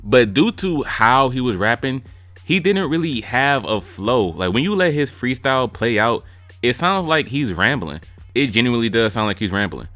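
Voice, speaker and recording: A man's voice: mid-range voice, very animated, normal speed, a bit bright, dry recording. A young man giving blunt, joking casual commentary as a YouTube music reviewer, slipping into mimicry of rappers' lines. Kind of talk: casual commentary ranking freestyles.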